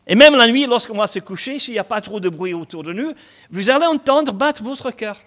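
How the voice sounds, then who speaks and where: A man's voice: very high-pitched, expressive, brisk, fairly bright tone. A man in his sixties with a Scottish accent, preaching a chatty sermon sprinkled with asides and humor. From Scotland. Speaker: pastor.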